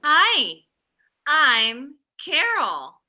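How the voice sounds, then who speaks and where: A woman acting blunt, syrupy comic characters in an animated comedy table read. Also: sits high, drawn-out words, exaggerated voice. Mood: syrupy, condescending. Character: blunt comedy booker and chirpy mommy-group leader.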